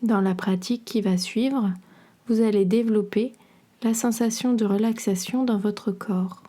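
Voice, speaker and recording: A woman guiding a relaxation meditation in a soothing, measured, instructional way, her soft voice turning breathy at the ends of phrases. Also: mid-range voice, steady tone. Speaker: podcast host and yoga nidra guide.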